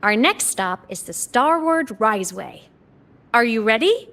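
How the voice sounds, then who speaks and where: A woman voicing a gentle, wistful yet playful young former student in an anime video game. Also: fairly high pitch, lively intonation, measured pace, a little hiss or hum. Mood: brighter, eager.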